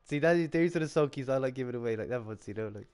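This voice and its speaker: Male streamer host, casual and rambling, average pitch. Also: expressive, very fast, darkish tone, smooth. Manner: laid-back, rambling, lots of "like" and "dude". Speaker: channel host on a live round-table stream. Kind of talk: casual chat.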